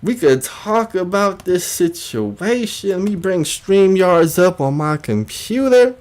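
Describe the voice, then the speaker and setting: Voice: mid-range voice, animated, slow, darkish tone. A livestream host giving casual commentary in an emphatic way, often repeating phrases for emphasis.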